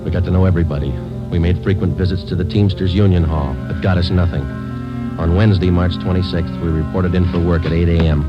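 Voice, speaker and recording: Actor as low-voiced deadpan police sergeant. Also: male voice, fast, lightly acted. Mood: matter-of-fact. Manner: clipped, deadpan. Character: terse, weary male police sergeant, matter-of-fact. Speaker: actor, lead and narrator of police radio drama. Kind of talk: 1940s radio police drama narration. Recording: dull vintage radio recording with music.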